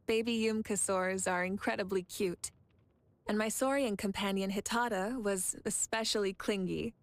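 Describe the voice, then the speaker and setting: A woman's voice: mid-range voice, fairly even intonation, a little slow, dry recording, close to an everyday voice. A woman playing a noble, encouraging leader of a fire nation in video game style, composed and earnest. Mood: fond, nostalgic. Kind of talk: video game character acting.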